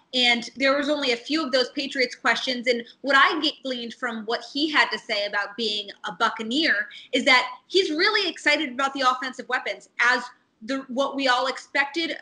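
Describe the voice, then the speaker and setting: A woman's voice: sits high, pushed and bright, rough recording. A woman hosting a sports show, presenting and discussing the news.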